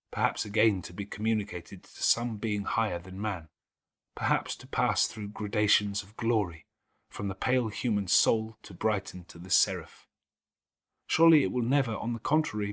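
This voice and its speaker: Man playing a calm pious schoolgirl in an audiobook, earnest and forgiving, bit husky, measured old-fashioned speech. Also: lowish voice. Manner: measured, gently preaching, formal Victorian diction. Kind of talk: audiobook character acting.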